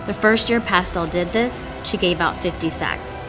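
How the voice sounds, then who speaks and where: Woman narrating a tribute over clear music. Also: lowish voice, moderate pace, rough recording. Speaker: company employee reading submission stories. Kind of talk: scripted tribute narration, read aloud. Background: prominent background music.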